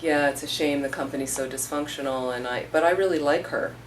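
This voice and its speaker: Low-voiced woman teaching from a distance. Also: brisk. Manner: conversational, storytelling with acted-out quotes. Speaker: Buddhist teacher. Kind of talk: informal dharma talk. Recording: distant mic with faint room noise.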